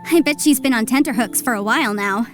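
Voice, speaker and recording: A woman's voice: on the high side, quick pace, soft background music, close to an everyday voice. A woman voicing a breezy, confident, articulate young legal advisor in a video game. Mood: confident, explaining. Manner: breezy, articulate, self-assured.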